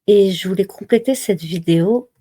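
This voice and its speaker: A woman giving a slow, measured devotional reading and reminder, pausing often between phrases. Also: medium pitch, mellow, breathy edge, no echo. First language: not Arabic. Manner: slow, measured recitation with pauses. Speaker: religious reminder speaker.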